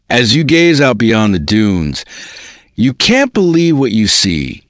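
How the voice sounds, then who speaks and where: A man with a fairly low voice narrates a scripted book in a dramatic style. His delivery is deliberate and made for storytelling, with lively, wide-moving intonation. The sound is dry, with no room echo. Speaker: voice-over narrator reading a book.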